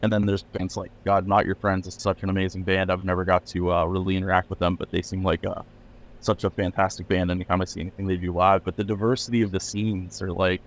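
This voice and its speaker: A man's voice: low-pitched, little pitch movement, quick pace. A middle-aged man from Pennsylvania, a record label owner, chatting loosely in a podcast interview with many fillers and restarts. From Pennsylvania, USA.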